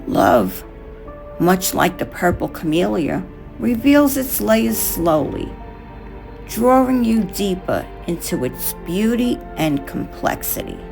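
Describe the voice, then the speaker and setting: A woman reads a reflective craft narration in short, measured phrases. Her delivery is unhurried, with gravelly fry at the edges and expressive rises and falls in pitch. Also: soft background music. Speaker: craft tutorial host. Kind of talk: scripted narration over a craft video.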